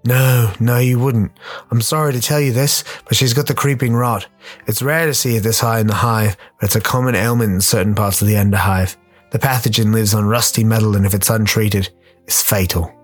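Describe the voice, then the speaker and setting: A man's voice: fairly low pitch, fairly even intonation, a little fast, soft background music, lightly acted. A man playing a grim but caring rogue doctor, explaining things matter-of-factly in a radio play. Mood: grave. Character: rogue slum doctor, grim, knowing, soothing.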